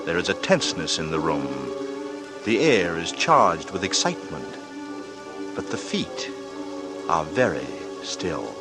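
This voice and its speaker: A man narrating a 1940s radio drama as host, formal, measured and theatrical in his scripted delivery. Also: sits low, very animated, loud music behind the voice. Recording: old radio broadcast.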